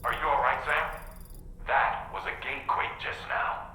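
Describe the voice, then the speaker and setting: A concerned mission support man briefs formally in a video game. His mid-pitched voice is very sharp and bright. Strong echo surrounds it. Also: steady tone, unhurried. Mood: concerned, informative. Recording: strong echo, faint background noise.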